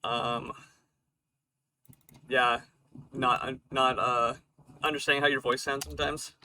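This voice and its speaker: A man hosting a live stream, slightly hoarse, talking casually and thoughtfully with many fillers. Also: medium pitch, level tone, a little slow, sharp tone, slight background noise. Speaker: stream host.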